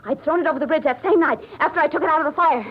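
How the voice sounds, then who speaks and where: An actress playing a desperate, cornered murderess in a 1940s radio drama, melodramatic and breathless. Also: female voice, high-pitched, rapid-fire, muffled recording, lightly acted. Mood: pleading. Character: desperate, cornered female murderer confessing in panic.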